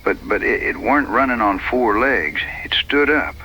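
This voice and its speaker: An actor playing a gruff, rustic frontiersman in an audio western, speaking in plain backwoods phrasing. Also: mid-range voice, lively intonation, moderate pace, bright, forceful tone, rough recording. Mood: shaken. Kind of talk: dramatized audio western dialogue.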